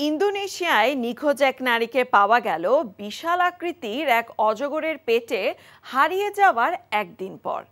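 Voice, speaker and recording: A woman from Bangladesh introducing a news segment in a lively, announcing way. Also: high voice, normal speed, faint music behind. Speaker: program presenter.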